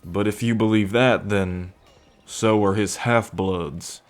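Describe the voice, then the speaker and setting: A man's voice: lowish voice, fairly even intonation, slow pace. A man playing a friendly, earnest half-bull man in an ASMR roleplay, talking in a chatty, folksy way. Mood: warm, folksy. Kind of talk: ASMR roleplay, acted speech to the listener.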